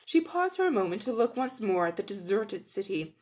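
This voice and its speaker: A woman's voice: medium pitch, sing-song, fairly quick, darkish tone. A woman reading scripted storybook narration in a dramatic reading style.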